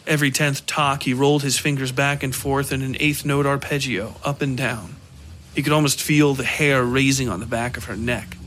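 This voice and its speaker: A man narrating scripted dark fiction for a podcast. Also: medium pitch, fairly even intonation, normal speed.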